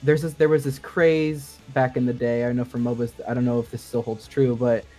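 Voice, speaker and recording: Male podcast guest, sound designer, mid-pitched, keen and nerdy explainer, casual delivery, music underneath. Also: flat delivery, a little fast, warm tone. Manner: enthusiastic, nerdy, chuckles as he explains.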